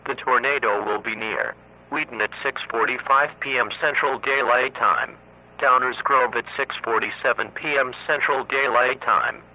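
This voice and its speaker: A man reading scripted emergency weather warnings in a formal, monotone synthetic voice. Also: medium pitch, moderate pace. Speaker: emergency alert announcer. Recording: muffled lo-fi radio broadcast, faint noise.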